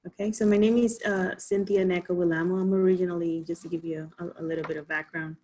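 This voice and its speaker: A woman's voice: lowish voice, flat delivery, fast, dark tone. A woman from the Dominican Republic, a physician, giving an informal online presentation with many ums and restarts. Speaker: physician giving a health talk.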